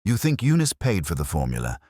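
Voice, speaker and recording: A man voicing an earnest, sharp young police sergeant who talks in a questioning, earnest way, recorded close on a studio mic. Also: sits low, huge pitch swings, fairly quick, no room sound, full character voice.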